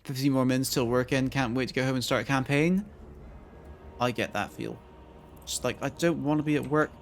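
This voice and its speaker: Croaky-voiced man streaming a strategy game. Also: medium pitch, brisk, a little hiss or hum. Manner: thinking aloud while planning moves. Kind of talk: casual gameplay commentary to chat.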